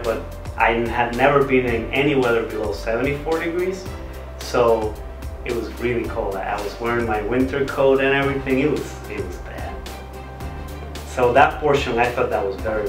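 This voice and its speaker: A man speaks at a middle pitch in a relaxed, self-amused way. He is picked up from a distance in an echoing room, with music running underneath. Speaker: interviewee. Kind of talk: personal story interview.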